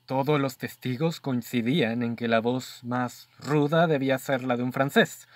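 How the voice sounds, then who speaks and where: A man's voice: medium pitch, steady tone, normal speed, dry recording, lightly acted. A Mexican man acting in a student radio drama as a detective's inquisitive companion, polite and earnest in his questioning. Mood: curious, thoughtful.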